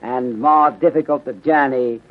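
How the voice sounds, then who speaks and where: An actor playing a patient, courteous detective inspector in a 1930s radio mystery, speaking formally in measured, aphoristic phrases with clipped grammar. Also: male voice, medium pitch, natural voice. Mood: calm, deliberate. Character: patient, courteous Chinese-American male police detective inspector. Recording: tinny, narrow-band 1930s radio recording.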